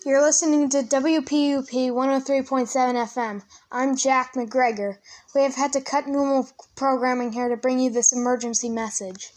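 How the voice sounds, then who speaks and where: High-voiced radio anchor in an emergency, acted by a woman. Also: steady tone, normal speed, darkish tone, lightly acted. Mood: grave. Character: male radio news anchor keeping composure in emergency. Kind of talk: amateur radio emergency drama.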